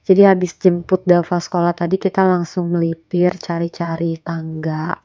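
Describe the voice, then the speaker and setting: A woman's voice: on the low side, monotone, moderate pace, warm tone, dry recording, dull audio. A young woman narrating a casual home vlog in a chatty way, her voice a little stuffy from a cold. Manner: chatty, fillers like gitu and guys. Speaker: vlogger, homemaker.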